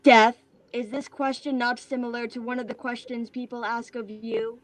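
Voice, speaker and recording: A woman playing a godlike bound artificial being in a play reading, speaking in a formal, measured, detached way. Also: on the high side, level tone, lightly acted. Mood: probing. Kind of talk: virtual staged play reading.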